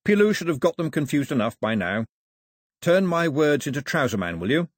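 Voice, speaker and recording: A man voicing a small, determined, quick-witted island boy leader with a firm, earnest manner in an audiobook story. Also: a little high, very animated, no echo, clean studio sound, voice pushed up. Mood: commanding.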